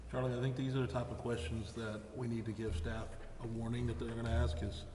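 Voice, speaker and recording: A man briskly chairing a public meeting as mayor in a plain-spoken way. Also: medium pitch, level tone. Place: council chamber. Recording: echoey hall, distant, faint noise.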